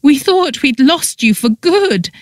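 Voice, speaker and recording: A woman's voice: high voice, animated, slow, no room sound, studio quality, pitched above the performer's own voice. A woman voicing a playful, teasing man in an audiobook story, overly dramatic and wailing in mock tears. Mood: mock tearful. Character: playful, melodramatic man, teasing affectionate friend.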